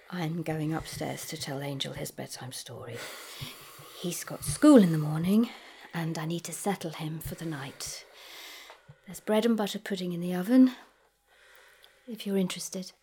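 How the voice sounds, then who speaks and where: A woman's voice: lowish voice, warm tone, a little hiss or hum. A woman playing a prim, dismissive, deluded wife and doting mother in a drama. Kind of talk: dramatic acting.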